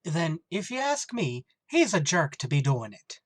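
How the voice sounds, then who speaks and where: A thick-headed, stubborn beat cop, played by an actor, in an old-time radio comedy. He gives deadpan comebacks in a mid-pitched, lively voice. The sound is dry and close. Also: a little slow, no echo. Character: dim-witted adult male beat cop.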